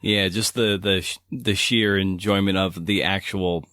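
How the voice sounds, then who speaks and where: A man's voice: low voice, steady tone, measured pace, pushed and bright, no room sound. A man hosting an interview podcast in a casual, informal conversational way. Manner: informal, peppered with "you know". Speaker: podcast host.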